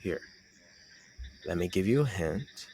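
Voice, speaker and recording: A man playing a shy, teasing young man secretly in love with his friend, in an intimate audio roleplay with a halting delivery. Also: deep voice, animated, warm tone, slight background noise, heavily acted. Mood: coy, tender.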